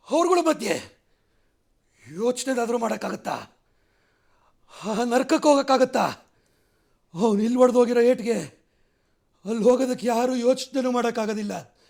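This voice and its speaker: A man playing an angry, commanding film villain in a forceful, scolding dialogue. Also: very high voice, creaky, pitched above the performer's own voice, exaggerated voice. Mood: heated, challenging. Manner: forceful, scolding rhetorical delivery.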